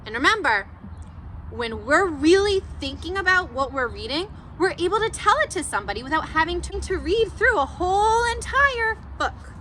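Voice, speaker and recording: A woman teacher leads a read-aloud lesson for young children, high-voiced and very animated. She is encouraging and speaks slowly, with noticeable noise in the background. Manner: encouraging, asks questions and answers them. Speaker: schoolteacher.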